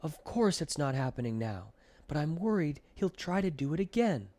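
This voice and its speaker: A man's voice: a little high, big pitch swings, normal speed, dark tone, pitched above the performer's own voice. A man voicing a worried, easily frustrated young boy in a children's audiobook, with an anxious, insistent manner.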